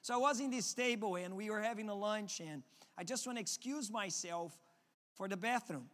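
A man's voice: high voice, moderate pace, a bit bright. A man with a Portuguese accent, a native Portuguese speaker, preaching a sermon earnestly and often restarting his words. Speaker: pastor. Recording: long hall reverb.

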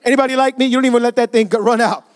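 A male pastor with a strikingly high voice delivers a sermon at a church service. He talks in an easy, conversational way and keeps prompting the congregation to answer him. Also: steady tone, racing. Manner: conversational, asks the congregation for responses.

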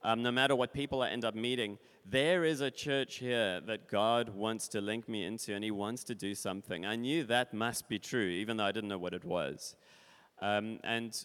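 A man in his forties from Africa, sharing his testimony at a church service in a relaxed, rambling way. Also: lowish voice, normal speed, clean tone. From South Africa. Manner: casual, rambling, with fillers and laughs. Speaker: visiting speaker. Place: church hall. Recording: long hall reverb.

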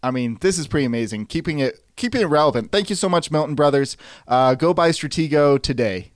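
A man's voice: on the high side, animated, fairly quick, no room sound, studio quality. A man co-hosting, giving a casual, jokey sponsor read in a mock-awed, gushing manner. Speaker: co-host.

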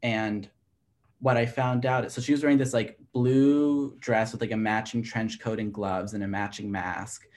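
A man's voice: lowish voice, steady tone, normal speed, darkish tone. A young man of twenty, co-hosting a casual chat podcast. He rambles a bit, leans on filler words and laughs easily between points. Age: 20s. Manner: chatty, heavy use of "like", laughs easily. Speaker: podcast co-host.

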